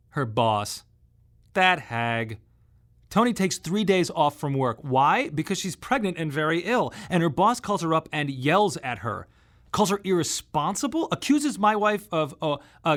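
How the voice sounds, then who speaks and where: An anguished, higher-voiced grieving husband. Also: male voice, fairly bright tone. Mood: bitter, angry. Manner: halting, self-questioning, emotionally raw. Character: anguished grieving young husband and bereaved father. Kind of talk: radio drama monologue.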